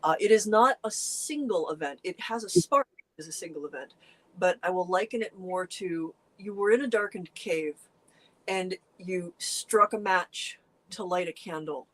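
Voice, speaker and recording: A middle-aged co-host chatting casually, telling stories earnestly with lively jumps in pitch and frequent restarts. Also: moderate pace, rough recording. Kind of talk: casual conversational chat.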